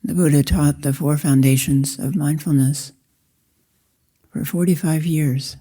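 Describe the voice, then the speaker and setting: A woman meditation teacher guiding a practice in slow, deliberate short phrases with long pauses. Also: deep voice, fairly even intonation, warm tone. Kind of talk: guided meditation, slow spoken instruction.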